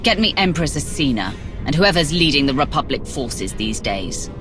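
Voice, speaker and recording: A woman's voice: deep voice, very animated, moderate pace, poor audio. An actress playing an authoritative, terse woman who commands a galactic alliance in a video game. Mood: resolute. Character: commanding female Sith leader of a galactic alliance. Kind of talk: video game cutscene acting. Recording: loud music under dialogue.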